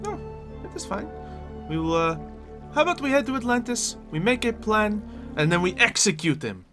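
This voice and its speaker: An eccentric, mischievous schemer played by a roleplayer in an improvised game story. The voice swoops widely in pitch, theatrical and a little formal, with playful eagerness, and music plays behind it. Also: big pitch swings, normal speed, a bit bright. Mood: matter-of-fact.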